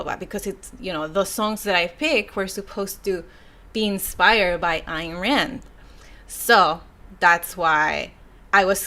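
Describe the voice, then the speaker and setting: Young mexican woman reading comments live, spanish accent, bright voice, laid-back and chatty. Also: lowish voice. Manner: chatty, laughs and sighs between thoughts. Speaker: YouTuber reading viewer comments. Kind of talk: casual live stream chat.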